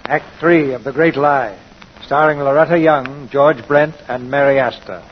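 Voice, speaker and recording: A man's voice: mid-range voice, unhurried, a little hiss or hum. A man narrating as host of a 1940s radio drama in a formal scripted style. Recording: muffled 1940s radio broadcast. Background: orchestral string music.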